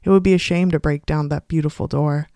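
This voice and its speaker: A reader voicing an unhinged, secretive, defiant confined woman in a measured, confiding first-person literary story reading. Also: medium pitch, fairly even intonation, dark tone, no room sound, clean studio sound, natural voice. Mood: confiding, unsettled.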